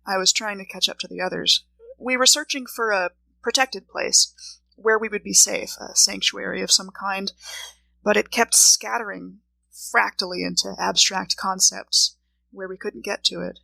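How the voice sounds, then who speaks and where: A troubled, brooding woman played by an actress delivers a precise monologue in an audio drama. Her voice is bright and creaky, recorded close and dry. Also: medium pitch, steady tone, moderate pace, no room sound, full character voice, poor audio. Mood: brooding, haunted. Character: troubled woman recounting a haunting dream. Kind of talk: dramatic first-person monologue.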